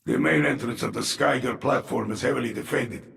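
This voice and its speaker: A man playing a grim, wary infested military officer in a video game, gravelly, giving measured briefings. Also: mid-range voice, fairly bright tone. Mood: grim, urgent. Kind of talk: video game cutscene acting.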